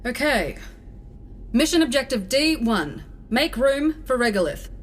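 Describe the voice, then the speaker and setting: Bossy by-the-book space captain lady, fairly high voice, brisk, faint ambience, sci-fi comedy drama. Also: big pitch swings, slight background noise. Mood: brisk, official. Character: officious, by-the-book, exasperated female starship captain.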